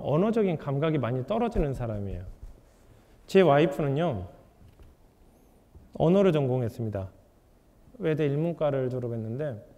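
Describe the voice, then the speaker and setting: Animated man lecturing in an echoing hall. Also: a little high. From South Korea. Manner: lively, asks the audience rhetorical questions. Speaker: author giving a lecture. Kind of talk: public lecture to an audience.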